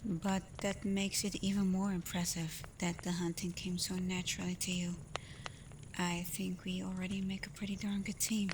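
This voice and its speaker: A woman voicing a caring alpha werewolf in a soft, breathy ASMR roleplay, gentle and reassuring, confident but privately unsure. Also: medium pitch, lightly acted. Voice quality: soft, breathy, often near a whisper. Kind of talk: ASMR roleplay, soft intimate talk to the listener. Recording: clear steady ambient noise.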